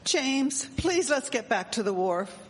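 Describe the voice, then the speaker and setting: Lady doing prim fussy gentlewoman, radio play, highish voice, hall echo. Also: very animated, a little slow, husky, full character voice. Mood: displeased. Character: fussy, genteel lady, easily won over by finery. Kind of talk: live radio drama acting. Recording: echoey hall.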